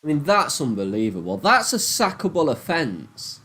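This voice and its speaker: A man with a Nottingham accent chatting live with viewers, swearing and ranting in a casual, animated way. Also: a little high, normal speed, husky. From Nottingham, England. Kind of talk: casual live-stream chat.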